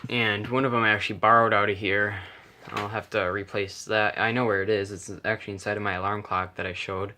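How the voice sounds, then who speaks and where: A man's voice: on the low side, level tone, a little fast, bright, forceful tone. A man giving a casual, unscripted hands-on walkthrough, hesitant and rambling, often correcting himself. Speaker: hobbyist vlogger. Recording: noisy handheld camera recording, clicks.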